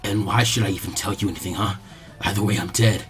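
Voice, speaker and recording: Gruff hired muscle in a story, played by a man: low, defiant, sneering, mumbled. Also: fairly even intonation, fast talker, fairly bright tone, soft background music, lightly acted. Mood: nervous.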